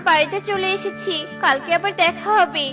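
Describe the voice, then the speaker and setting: A woman's voice: very high-pitched, moderate pace. A woman voicing a poor, humble young mother bird in a children's cartoon, polite and pleading. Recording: music behind the voice.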